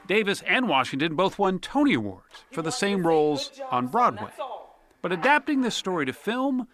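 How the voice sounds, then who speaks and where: Male TV news narrator, polished; bright, lively delivery. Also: a little high. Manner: polished, measured storytelling. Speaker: correspondent.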